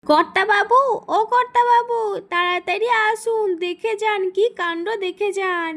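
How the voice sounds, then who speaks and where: Lady doing a super high squeaky animal servant, cartoon style, servile and flustered. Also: measured pace, fairly bright tone. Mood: excited. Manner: deferential, flustered, stammering when scared. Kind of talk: cartoon story voice acting.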